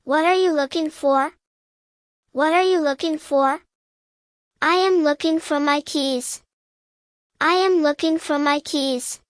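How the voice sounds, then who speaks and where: A woman narrating a scripted beginner language drill in careful, measured, simple phrases, repeating each sentence. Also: sits high, no room sound, studio quality. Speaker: English teacher narrator.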